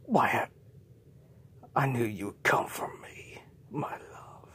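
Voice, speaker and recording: A man's voice: mid-range voice, very animated, drawn-out words, full character voice. A man whispering as a sinister vampire countess. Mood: taunting whisper. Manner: hushed whisper.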